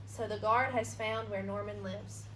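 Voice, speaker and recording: A woman reading a children's storybook aloud expressively, pausing for asides and questions to young listeners. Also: medium pitch, fairly even intonation, a bit bright. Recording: roomy echo, noticeable background hiss.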